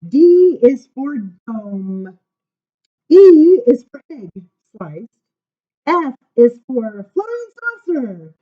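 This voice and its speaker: A woman reading children's poems aloud at story time, informal, with dramatic emphasis and drawn-out words. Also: a little high, very animated, lo-fi sound. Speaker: library story time reader.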